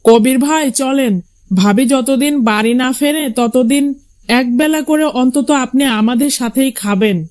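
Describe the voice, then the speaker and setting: A dutiful young husband from a cartoon fairy tale, acted by a man. His male voice is extremely high, and he speaks with friendly insistence. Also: moderate pace, a little mellow.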